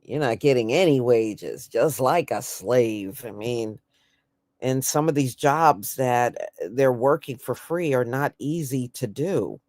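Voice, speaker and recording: American woman, unhurried, folksy and blunt, casual livestream commentary, dry close sound. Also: extremely low pitch, expressive, a little mellow, dry recording. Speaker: host of an online news commentary stream.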